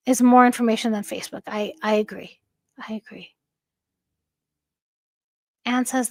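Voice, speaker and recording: A woman hosting a livestream, chatting casually with a soft, calming voice and reading out viewer comments. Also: on the high side, no room sound, clean studio sound. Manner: chatty, warm thanks to viewers, frequent fillers and light laughs. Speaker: livestream host. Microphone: home streaming microphone.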